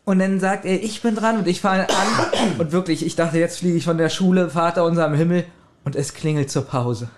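A man around forty in casual podcast chat, telling anecdotes in a halting but animated way and acting out quotes. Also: fairly high pitch. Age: 30s or 40s. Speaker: podcast co-host.

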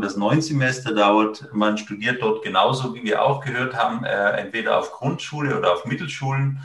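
A man's voice: fairly low pitch, gravelly, fairly bright tone. A middle-aged man from Italy, a professor, giving a seminar talk in a hesitant way with many filler sounds. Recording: echoey room, distant mic.

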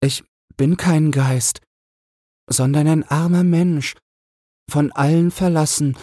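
A man's voice: on the high side, steady tone, slow, warm tone, no room sound, studio quality, voice pushed up. A man voicing a forsaken, pious young woman in a fairy tale, meek and plaintive. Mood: meek sorrow.

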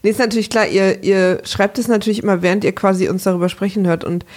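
A woman's voice: medium pitch, fairly even intonation, fast, darkish tone. A young woman co-hosting a podcast, chatting casually and reading out listener mail, teasing and laughing often. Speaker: podcast co-host.

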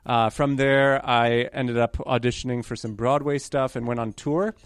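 A man's voice: mid-range voice, steady tone, normal speed, clear, resonant tone, no echo. Young man from New York, candid and rambling, dry close sound. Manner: chatty, self-correcting, apologetic asides. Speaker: guest conductor candidate. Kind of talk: conversational storytelling interview.

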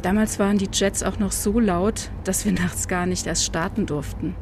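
A woman's voice: mid-range voice, fairly even intonation, normal speed, natural voice. An actress playing a weary, easygoing woman traveller in an audio drama, in a conversational tone. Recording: clear ambient noise behind the voice.

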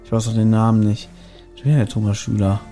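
A man's voice: fairly low pitch, level tone, quick pace, mellow, soft background music. A man co-hosting a casual podcast chat, guessing quiz answers and thinking aloud with many hesitant fillers. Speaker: podcast co-host.

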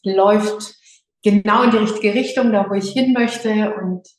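A yoga teacher from Lower Franconia, talking as a guest in a hesitant, slightly nervous way with many fillers. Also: female voice, fairly even intonation, a little slow. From Lower Franconia, Germany. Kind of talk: casual interview. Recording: echoey room.

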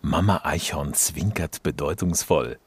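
A man's voice: extremely low pitch, a little slow, slightly rough, no room sound. A man narrating a children's story expressively, full of wonder and speaking directly to young listeners.

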